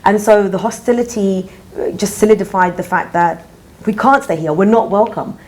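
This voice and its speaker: A woman speaking informally on a panel at a live event, explaining things and often clearing her throat. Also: mid-range voice, moderate pace, a little mellow, poor audio. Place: indoor public exhibition discussion. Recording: background noise of live event.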